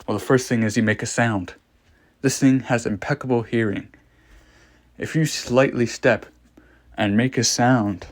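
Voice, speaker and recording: A man playing a morbidly fascinated scientist explaining a monster in a horror audio log, lecturing with dramatic pauses and rhetorical questions. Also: medium pitch, lightly acted.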